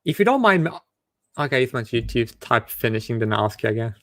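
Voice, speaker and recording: A man's voice: mid-range voice, sing-song, quick pace, a bit bright. A man hosting casual video chats with strangers, asking curious questions and often stumbling and restarting his sentences. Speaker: online video chat host.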